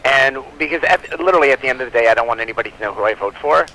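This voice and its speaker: Man, bright forceful voice, informal rambling talk, giggly, interview guest, faint background noise. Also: medium pitch, racing, rough recording. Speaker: comic book publisher, interview guest. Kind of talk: casual interview chat.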